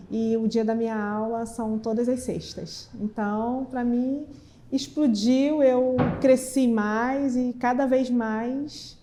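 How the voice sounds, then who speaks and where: A woman's voice: a little high, mellow. A woman, a cooking student giving a personal testimonial.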